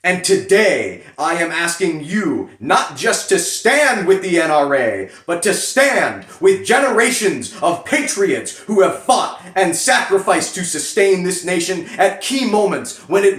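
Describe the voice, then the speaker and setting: A man giving a solemn, theatrical dramatic reading with emphatic pauses. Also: a little high, a bit bright. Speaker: reader performing a letter. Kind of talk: dramatic reading of a political fundraising letter. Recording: echoey room.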